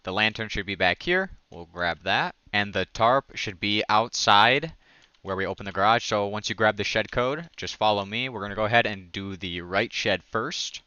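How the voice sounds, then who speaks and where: Bright-voiced man narrating a game update. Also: fairly low pitch, animated, moderate pace, clear, resonant tone, no room sound, lo-fi sound. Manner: enthusiastic. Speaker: gaming video creator. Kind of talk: casual game update walkthrough.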